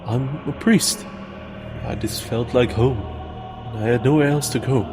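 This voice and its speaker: A man acts out a humble priest seeking shelter in a fantasy game. He is hesitant, courteous and formal, speaking at a middling pitch over clear background music. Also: unhurried, big-room sound, close to an everyday voice. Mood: apologetic.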